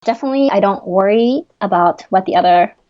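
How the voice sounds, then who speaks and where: A woman's voice: medium pitch, fairly quick, highs cut off. A young adult woman with a Japanese accent, a guest in a podcast interview, talking earnestly with frequent fillers and laughs.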